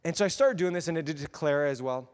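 A man preaching a sermon, telling personal stories in a lively way and acting out what people said. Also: rapid-fire, clean tone. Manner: animated, acts out quotes with big pitch jumps. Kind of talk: storytelling sermon. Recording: long hall reverb.

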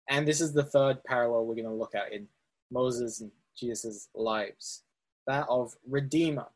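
A man's voice: mid-range voice, darkish tone, slightly rough, rough recording. A man teaching a Bible study session, explaining step by step with fillers and restarts, speaking to slides on a screen. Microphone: presentation recording with on-screen slides.

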